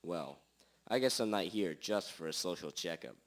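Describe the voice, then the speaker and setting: Man acting a low-voiced, melodramatic detective. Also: lively intonation. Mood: businesslike. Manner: dramatic pauses, theatrical declarations. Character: melodramatic male small-town detective, gruff and self-important. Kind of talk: hobby detective radio drama acting. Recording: rough amateur recording.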